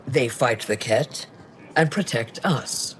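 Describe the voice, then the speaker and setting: An actor playing a composed, guarded alien governor in a video game, speaking in a measured, formal and curt way. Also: male voice, mid-range voice, fairly bright tone. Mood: firm. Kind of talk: video game dialogue acting. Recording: game dialogue over noisy ambience.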